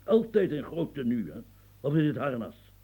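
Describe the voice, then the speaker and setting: An actor in a radio play as a grumbling old French marquis and former soldier, husky, stammering and repeating himself as he muses. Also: medium pitch, very animated, normal speed, mellow, rough recording. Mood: chatty, confiding. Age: older. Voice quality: gravelly, husky. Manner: stammering, repetitive, musing, earthy, prods with "huh". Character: rambling, grumbling old French marquis, retired military officer.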